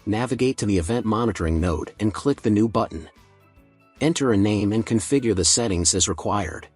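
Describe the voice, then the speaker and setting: A man reading a scripted software tutorial in a measured, procedural instructional manner. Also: fairly low pitch, lively intonation, husky, faint music behind.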